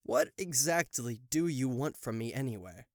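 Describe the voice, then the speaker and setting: A man's voice: mid-range voice, lively intonation, moderate pace, dry recording, studio quality, lightly acted. A man voicing a theatrical, sarcastic demon king of hell in a roleplay audio drama, proud and showy in manner. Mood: sarcastic, incredulous. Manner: sarcastic, showy, stammering in disbelief. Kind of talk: roleplay audio drama acting addressed to the listener.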